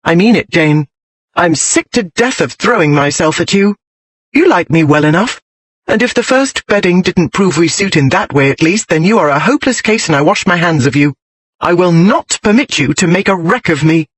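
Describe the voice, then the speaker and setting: A man's voice: sits high, animated, moderate pace, fairly bright tone, no echo. A man voicing a strong-willed, outspoken young lady in an audiobook, with forthright, emphatic period speech. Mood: exasperated, insistent. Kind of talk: audiobook character voice.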